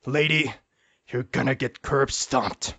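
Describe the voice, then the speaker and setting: A man playing a hot-headed fugitive in an audio drama, blunt, agitated and quick to panic or threaten. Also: medium pitch, steady tone, gravelly, bright, forceful tone, no echo, full character voice. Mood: furious.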